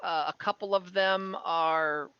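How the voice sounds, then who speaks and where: A game master narrating an online tabletop game casually, in a halting, improvised way. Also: medium pitch, fairly even intonation, smooth, dry recording. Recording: dull, dry online call audio.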